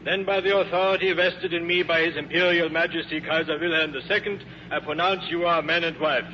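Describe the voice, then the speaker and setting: A forceful, fairly high-voiced German navy captain gives formal commands in a German accent. He is stern and pompous, heard on a dull old radio recording with faint noise. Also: steady tone, fairly quick. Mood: pompous, officious. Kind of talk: 1950s radio drama acting.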